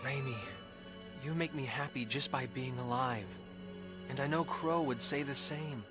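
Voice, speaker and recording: A man's voice: mid-range voice, unhurried, music in the background, lightly acted. An actor playing a brooding, earnest young male hero in a dramatic video game. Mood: subdued, earnest.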